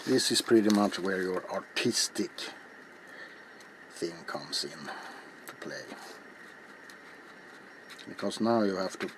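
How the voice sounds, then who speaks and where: A middle-aged man hosts an ASMR craft video in a low, hushed voice. He talks very slowly and haltingly, often dropping to a whisper. Clear background noise sits behind him in a small indoor room. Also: lively intonation. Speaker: ASMR video maker and host. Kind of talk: ASMR, softly spoken craft demonstration to viewers. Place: small cluttered indoor workshop.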